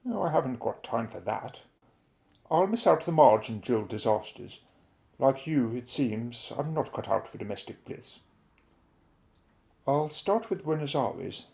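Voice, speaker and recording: Creaky-voiced British inventor, played by a man, eccentric and self-assured. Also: mid-range voice, expressive, unhurried, long pauses, mellow. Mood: proud, storytelling. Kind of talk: audio drama acting.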